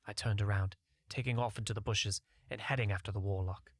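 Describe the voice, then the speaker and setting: Male audiobook narrator, low voice, dry close sound, first-person story reading. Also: fairly even intonation, a little fast, no echo.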